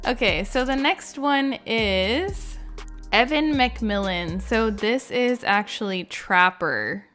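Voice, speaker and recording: A woman's voice: on the high side, animated, takes it slowly, fairly bright tone, faint music behind. A woman hosting a gaming video, giving casual, chatty and informal commentary. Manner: chatty, informal, frequent "like" and "you know". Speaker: gaming video creator and host.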